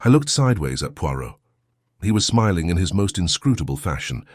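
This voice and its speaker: A man's voice: low-pitched, very animated, fairly quick, no room sound, clean studio sound. A man reading a first-person story as a scripted audiobook narration. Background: soft music.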